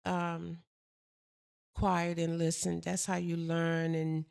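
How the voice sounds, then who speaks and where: An older woman from the States, chatting with her daughter in a soft, gentle, unhurried way. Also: lowish voice, monotone, creaky, clean tone, dry recording, studio quality. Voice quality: soft-spoken. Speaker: mother and co-host. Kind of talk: casual chat.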